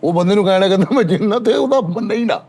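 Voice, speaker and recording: A quick-talking man with a Pakistani Punjabi accent and a fairly high voice. He tells stories casually among friends, insisting on them with some bravado. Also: lively intonation, a little mellow. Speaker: talk show panellist. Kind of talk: casual storytelling.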